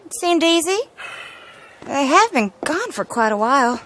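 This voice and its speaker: An actress playing a sly, streetwise young American outlaw woman in an Old West game, with a husky voice. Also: high voice, big pitch swings, normal speed, a bit bright, a little hiss or hum, rough recording. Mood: matter-of-fact. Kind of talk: video game cutscene acting.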